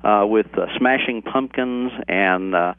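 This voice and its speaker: An older American man giving halting, step-by-step explanations as a guest in an informal interview. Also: fairly low pitch, smooth, no echo, phone-quality sound. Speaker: cassette manufacturer being interviewed.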